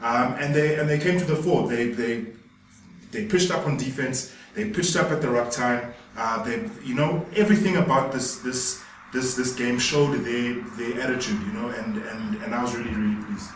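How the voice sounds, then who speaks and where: A man's voice: medium pitch, moderate pace. A man on a sports panel, speaking earnestly and stumbling over repeated words. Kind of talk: casual studio sports chat. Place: small studio room, indoors. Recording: echoey room from a distance, faint noise.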